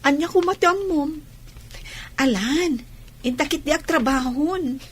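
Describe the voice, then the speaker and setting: A woman's voice: high voice, unhurried. A woman playing an earnest, fretful young shop worker with a Filipino accent in a radio drama.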